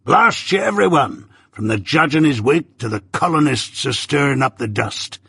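A man reading an audiobook voices a rough old male ex-convict who dotes and blusters in a broad rustic dialect. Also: mid-range voice, normal speed, a bit bright, dry recording, studio quality. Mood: boastful. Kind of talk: audiobook character voice.

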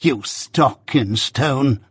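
A man's voice: mid-range voice, animated, fairly bright tone, husky, no room sound, clean studio sound, exaggerated voice. A man acting a wild, bitter old woman. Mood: furious, accusing. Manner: impassioned, wailing, drawn-out cries. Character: bitter, wild, possessive old adoptive mother.

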